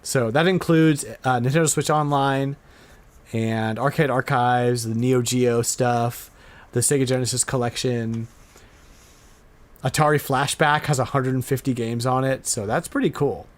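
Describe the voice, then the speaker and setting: A man hosting a podcast, chatting casually and informally, often halting and repeating words as he goes. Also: medium pitch, fairly even intonation. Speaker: podcast host. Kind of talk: casual chat about retro game news.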